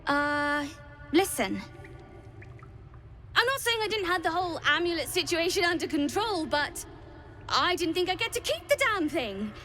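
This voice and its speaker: A woman's voice: extremely high pitch, sing-song, moderate pace, pauses often, bright, forceful tone, faint music behind, full character voice. A woman voicing a scrappy, proud young child in a video game, hesitant yet boastful. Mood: sheepish, proud. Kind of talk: video game dialogue acting.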